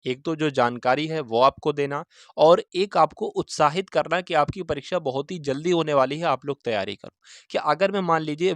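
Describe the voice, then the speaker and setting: Higher-voiced man explaining informally. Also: no echo. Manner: repetitive, with frequent check-in tags. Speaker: exam-news video host.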